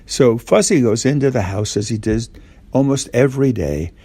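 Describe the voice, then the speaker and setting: A man's voice: mid-range voice, lively intonation, normal speed, warm tone, husky, no echo. An older man tells a simple bedtime story informally, as if speaking to a young child. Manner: simple, repetitive, asks the child listener questions.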